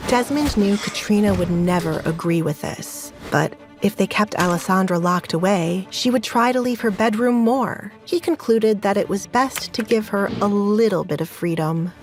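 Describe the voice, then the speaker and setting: A woman narrates a dramatic audio story in a scripted storytelling style. Her mid-pitched voice sits over a clearly audible music bed. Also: moderate pace, few pauses.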